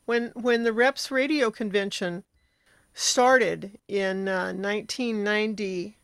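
An older woman in casual conversation, reminiscing with a halting delivery. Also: medium pitch, steady tone, smooth, dry recording. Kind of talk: casual chat.

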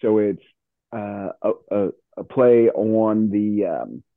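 Phone-line older American man, lowish voice. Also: slow pace, dry recording. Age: middle-aged or older. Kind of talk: casual chat. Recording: thin phone-like call audio.

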